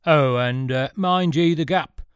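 A man voicing a cheery coach driver, calling out loudly in grand old-fashioned phrases. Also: on the high side, a little slow, smooth, dry recording, studio quality, pitched above the performer's own voice, lightly acted. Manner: loud calling, archaic phrasing.

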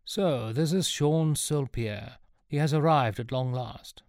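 A man's voice: medium pitch, slow, darkish tone, no room sound, studio quality, voice pushed up. A man voicing an elderly eccentric professor, once an adventurer, speaking in a theatrical, old-fashioned way. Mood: grand reverence.

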